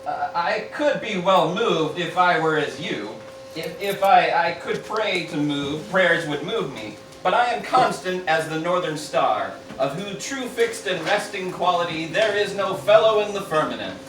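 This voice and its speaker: Pompous Roman ruler, male performer, declaiming verse a bit clumsily, high-pitched, recorded distant and echoey. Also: lively intonation, unhurried. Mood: grand, arrogant. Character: Jerry as Caesar, pompous self-important male ruler. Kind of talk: amateur parody of Shakespeare as radio play. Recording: roomy, distant mic, loud background noise.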